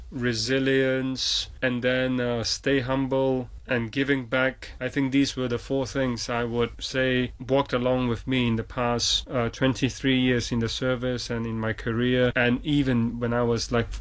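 A middle-aged man answers as an interview guest. His pitch is average and stays quite flat, and he talks in a measured, earnest, reflective way. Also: clean tone. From Hong Kong.

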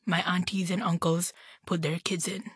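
A woman's voice: on the low side, flat delivery, moderate pace, husky, no echo, clean studio sound. A young woman from Hong Kong in her twenties, answering interview questions in a casual, thoughtful way. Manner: casual, thoughtful, uses filler like "like". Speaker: guest.

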